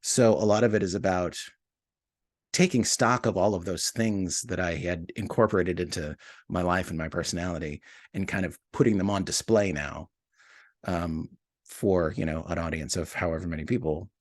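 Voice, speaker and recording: Male interview guest, deep register, dry sound. Reflective, hesitant, modest, conversational. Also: moderate pace, no echo. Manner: hesitant, searching for words, self-deprecating. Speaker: podcast writer and co-producer, interview guest. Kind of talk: casual, reflective interview talk.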